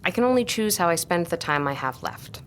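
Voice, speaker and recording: Young female tutor played by an actress, audio drama: lowish voice, formal, precise, guarded, earnest. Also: expressive, a little fast, slight background noise, close to an everyday voice. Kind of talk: audio drama acting with formal first-person narration.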